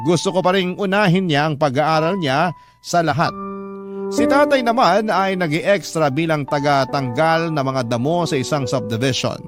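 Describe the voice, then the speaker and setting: Higher-pitched Filipino male storyteller over music. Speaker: storyteller narrating a letter sender's story. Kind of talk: first-person dramatic radio story narration.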